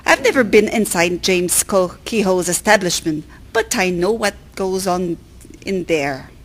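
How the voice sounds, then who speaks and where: A woman's voice: on the low side, animated, measured pace. A woman playing a judgmental, moralizing passer-by in a historical radio drama. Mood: disapproving. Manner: prim, judgmental. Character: disapproving, moralizing female passer-by.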